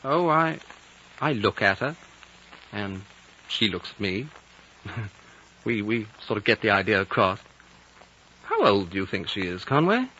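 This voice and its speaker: An eager, blunt young man in a vintage radio drama. His voice is mid-pitched, bright and very animated, with a boyish directness. The recording has the dull tone of 1950 radio. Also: a little slow, pauses often, a little hiss or hum, exaggerated voice. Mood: bashful, smitten. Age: young adult. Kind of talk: 1950s radio drama acting.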